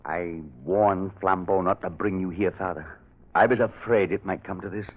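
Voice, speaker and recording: An actor playing a loyal, secretive war veteran in an old radio mystery, speaking in a grave, anguished, confessional manner. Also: male voice, sits low, steady tone, normal speed. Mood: defeated, grim. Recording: dull old radio recording.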